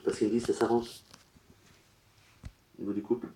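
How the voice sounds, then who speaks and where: A man working as a medium at a public séance, relaying messages in short, broken, halting phrases. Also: fairly low pitch, little pitch movement, warm tone. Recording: roomy echo.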